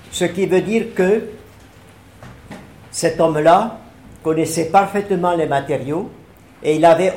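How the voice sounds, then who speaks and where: A man's voice: on the high side, darkish tone. Older man talking in an echoey room. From Ariège, southern France. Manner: hesitant, measured, many fillers. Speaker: former collaborator of an architect, speaker. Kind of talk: public talk with slides.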